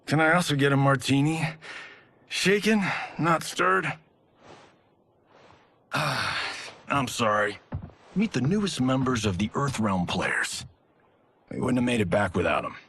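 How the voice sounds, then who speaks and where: A man's voice: mid-range voice, sing-song, slow pace, long pauses, fairly bright tone, breathy edge, exaggerated voice. A man playing a cocky, wisecracking fighter in a video game, flippant and slangy. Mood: joking. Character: cocky, wisecracking movie star turned fighter.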